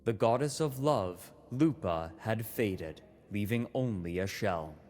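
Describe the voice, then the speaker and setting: A man narrating a mythic visual novel in a grave, deliberate, scripted style. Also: fairly low pitch, animated, darkish tone. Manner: solemn, deliberate. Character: grave male narrator of myth and history.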